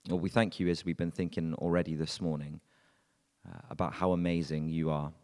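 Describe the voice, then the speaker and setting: A man reading scripture and leading prayer in a measured, earnest way at a church service. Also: very deep voice, flat delivery, a little mellow. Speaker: scripture reader and prayer leader. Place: church hall. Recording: long reverb of a church hall.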